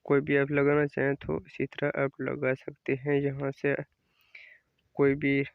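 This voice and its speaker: A man's voice: medium pitch, completely flat delivery, unhurried, vocal fry, dry recording. A man hosting a casual, step-by-step app tutorial in an instructional way, often repeating his phrases. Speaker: tutorial host. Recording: dull lo-fi close dry recording.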